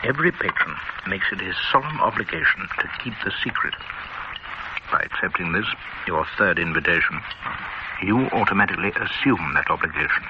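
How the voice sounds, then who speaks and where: An assured, teasing old-time gourmet. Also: male voice, low-pitched, animated, fairly quick. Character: worldly male gourmet, genial and teasing. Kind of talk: vintage radio drama acting. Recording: muffled old radio recording with hiss.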